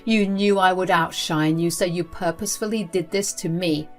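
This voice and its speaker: A woman's voice: fairly low pitch, steady tone, normal speed, soft background music, lightly acted. A woman voicing a haughty, entitled middle-aged mother-in-law in a melodramatic, accusatory way, as in a told story. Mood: spiteful, accusing.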